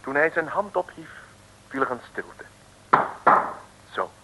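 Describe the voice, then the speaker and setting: A man's voice: on the high side, big pitch swings, slow, noisy background. A man narrating a 1950s radio comedy serial in a wry storytelling tone, talking to the listeners. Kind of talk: scripted radio play narration. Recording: old radio broadcast over music.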